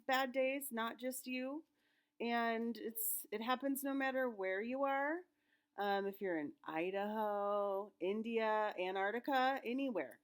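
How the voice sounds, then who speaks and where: A woman reading a children's story aloud and talking to young listeners in an expressive, explanatory way. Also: fairly high pitch. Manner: expressive, addresses children directly, simple explanatory phrasing.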